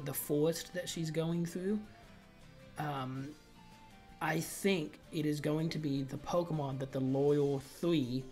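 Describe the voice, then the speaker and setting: A man's voice: a little high, a little mellow. A man giving casual, informal and rambling video commentary as a gaming creator, with a slight speech impediment. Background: background music.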